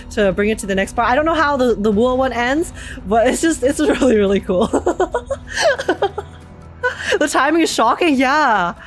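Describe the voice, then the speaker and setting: A young woman streaming a game with casual, excitable, slangy live commentary. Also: fairly high pitch. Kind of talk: casual live reaction commentary over gameplay. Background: faint game music.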